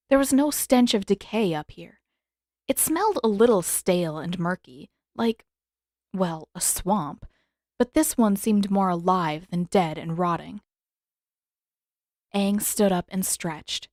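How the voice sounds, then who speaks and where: A woman narrates a story in a medium-pitched voice. It is scripted audiobook reading, recorded dry with very little room sound. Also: darkish tone, dry recording. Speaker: narrator and author reading fan fiction.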